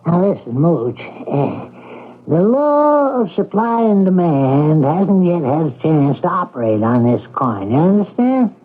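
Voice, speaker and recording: A man's voice: fairly high pitch, big pitch swings, measured pace. An actor playing a sly coin dealer in an old-time radio drama, needling and impatient as he bargains. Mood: pushy haggling. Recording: muffled vintage radio broadcast recording.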